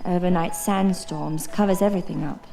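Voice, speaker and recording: An actress playing a sharp-tongued, reluctant explorer's companion in a period horror audio drama, with formal, theatrical exasperation. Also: female voice, lowish voice, steady tone, normal speed, creaky, faint music behind, poor audio. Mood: exasperated.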